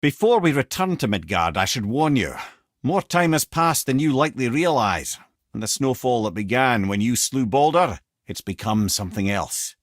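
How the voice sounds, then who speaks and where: A man's voice: medium pitch, sing-song, moderate pace, dry recording, studio quality. An actor voicing a wise, wry older Scottish man, a talking severed head in a video game, with a theatrical, folksy manner. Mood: grave warning. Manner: wry, theatrical, folksy, addresses listeners as brother and lad. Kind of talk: expressive video game character acting, storytelling and banter.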